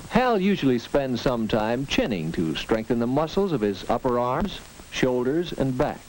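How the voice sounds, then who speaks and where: 1940s educational film narrator, lively and earnest. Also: male voice, medium pitch, normal speed, poor audio. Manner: earnest, instructive, lively delivery. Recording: old film soundtrack with faint hiss and clicks.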